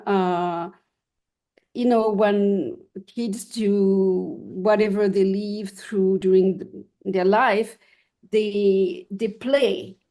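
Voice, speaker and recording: Halting older woman, mellow interview voice. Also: mid-range voice. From Switzerland and France. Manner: halting, searching for words. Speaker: artist guest being interviewed. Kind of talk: reflective casual interview answers.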